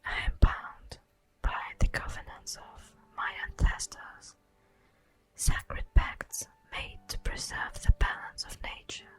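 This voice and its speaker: A woman voicing an ancient, tender and wistful elf in a breathy whispered ASMR fantasy roleplay, speaking solemnly and poetically with long pauses. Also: close to an everyday voice, poor audio. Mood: sorrowful, confiding. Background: music.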